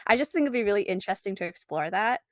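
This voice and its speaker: A woman's voice: medium pitch, lively intonation, very fast, no echo, studio quality. A woman speaking casually as an interview guest, often laughing as she talks. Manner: giggly, laughs often while talking. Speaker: interview guest, artist and fan fiction author.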